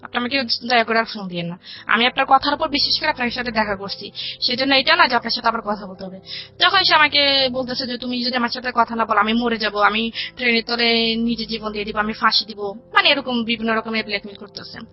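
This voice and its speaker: Woman, Bangladeshi accent, fairly high pitch, conversational storytelling, quoted dialogue, music behind. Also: fast. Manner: recounts dialogue in detail, quoting both sides. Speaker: guest telling her life story. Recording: dull lo-fi radio audio with music. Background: background music.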